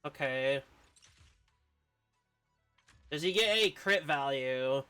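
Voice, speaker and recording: A man casually commentating on a video game as he streams, reacting aloud and excitably over game music. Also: mid-range voice, measured pace, clean tone. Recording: music behind the voice.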